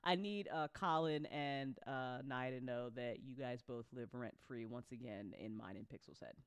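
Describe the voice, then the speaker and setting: An effusive podcast host chatting casually and excitedly, using slang and given to laughing. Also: mid-range voice, moderate pace, clean tone, dry recording. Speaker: host of a fiction podcast after-show.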